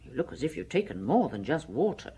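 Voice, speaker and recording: An actress playing a detective's middle-aged wife in a radio drama, teasing and inquisitive, with a wry, familiar manner. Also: female voice, very deep voice, sing-song, brisk, warm tone, slight background noise.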